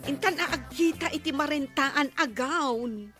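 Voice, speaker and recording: A woman's voice: sits high, a little slow, over music. A woman playing a fussing, impressionable village friend with exaggerated reactions in an Ilocano radio comedy drama. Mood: awed, fussing.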